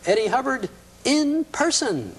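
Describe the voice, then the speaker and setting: A man's voice: very high-pitched, sing-song, unhurried, slight background noise, poor audio. A man hosting a casual studio talk show interview, speaking in a hesitant way with repeated words. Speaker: television talk show host. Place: television studio.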